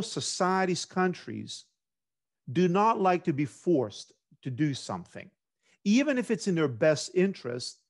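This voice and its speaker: A middle-aged man from Greece, a government instructor, giving a measured academic lecture over a video call. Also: on the high side.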